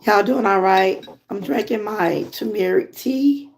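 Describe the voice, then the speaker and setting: A Southern woman from Georgia with a hoarse voice, chatting casually with viewers on a live stream from home. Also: mid-range voice, unhurried, slight background noise. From Georgia, USA. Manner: relaxed, chatty, greets viewers often. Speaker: lifestyle vlogger and channel host.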